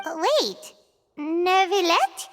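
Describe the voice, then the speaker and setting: A woman voicing a small, bubbly, naive childlike fairy companion in a video game, chirpy and squeaky. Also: takes it slowly. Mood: surprised. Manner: chirpy, refers to self in third person. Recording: long reverb, music behind.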